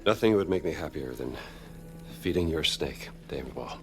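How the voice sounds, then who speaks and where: An actor playing a wry, rugged adventurer archaeologist in a video game, with a dry, understated delivery. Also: male voice, rumbling low, animated, normal speed, music in the background. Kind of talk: video game cutscene acting.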